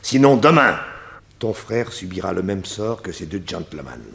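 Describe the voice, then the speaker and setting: An actor playing a sadistic, vengeful gang boss who sneers with mock politeness in a detective radio serial. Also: male voice, deep voice, lively intonation, normal speed, gravelly, fairly bright tone, heavily acted. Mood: menacing.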